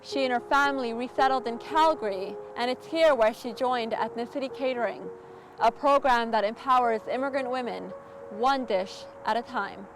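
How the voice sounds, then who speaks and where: Female journalist narrating a documentary, highish voice, music playing under it. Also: moderate pace, low-quality recording. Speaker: host, journalist.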